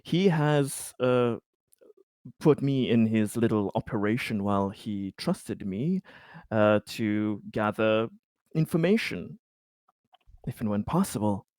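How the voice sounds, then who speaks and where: A man playing an urgent colony insider in a tabletop roleplay, speaking insistently and haltingly as he warns of danger. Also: fairly low pitch, lively intonation, a little mellow, dry recording, clean studio sound. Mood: grave warning. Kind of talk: improvised in-character roleplay.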